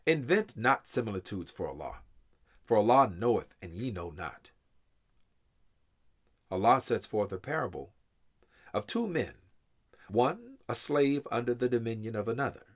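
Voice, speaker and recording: A man giving a solemn, measured, reverent reading of scripture in English translation. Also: mid-range voice, sing-song. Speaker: scripture reader.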